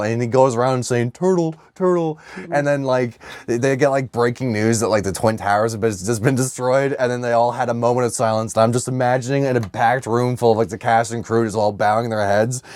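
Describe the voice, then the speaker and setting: A man with a mid-pitched voice chats as a comedy podcast co-host, recorded dry and close. He talks in loose slang, swears often and keeps riffing jokingly. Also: a little mellow, no room sound. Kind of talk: casual comedic banter.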